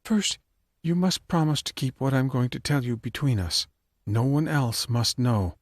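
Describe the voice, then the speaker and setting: A man's voice: medium pitch, a little slow, no room sound, pitched above the performer's own voice. A man voicing an earnest woman time traveler. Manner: earnest, matter-of-fact, close to tears at times. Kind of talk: audiobook character voice.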